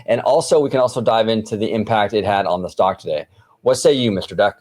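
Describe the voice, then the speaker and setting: A man's voice: lowish voice, fast talker, darkish tone. A man hosting a casual financial news discussion, informal and buddy-like, repeating himself for emphasis. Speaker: host.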